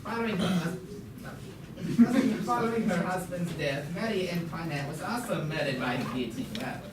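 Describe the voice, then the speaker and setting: A young student voicing a formal puppet museum guide in an amateur school show, lecturing haltingly and stumbling over words. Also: medium pitch, animated, close to an everyday voice. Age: teenager or young student. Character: puppet museum guide, formal, mock-learned, named Schnitzelbach. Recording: noisy, echoey room, far from mic.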